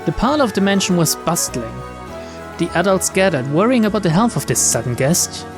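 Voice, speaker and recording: Expressive high-voiced man reading a visual novel. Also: a little fast. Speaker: let's play streamer reading a visual novel aloud. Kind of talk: dramatic narration with casual commentary. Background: game music and rain sounds.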